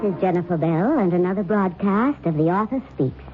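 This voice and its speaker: Actress as a skeptical, lowish-voiced lady interviewer. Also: animated, fairly quick, over loud music, natural voice. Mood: poised, probing. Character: skeptical, composed woman radio interviewer. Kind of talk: old-time radio drama acting. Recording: thin, phone-like, noisy old radio recording.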